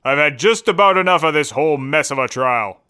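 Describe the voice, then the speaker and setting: An actor playing a stern, fed-up trial judge in a comedy, speaking formally and impatiently. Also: male voice, on the high side, expressive, a little fast, bright, forceful tone, clean tone. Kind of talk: scripted comedy courtroom acting.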